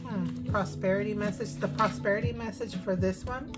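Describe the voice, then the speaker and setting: Woman, hoarse, chatty tarot reader, thinking aloud, mid pitch, loud music behind. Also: fairly even intonation, moderate pace. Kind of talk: casual tarot card reading.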